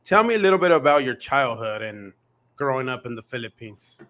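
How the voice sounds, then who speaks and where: A man's voice: medium pitch, sing-song, a little fast, fairly bright tone. A man working as a co-host, asking casual interview questions in loose, informal phrasing.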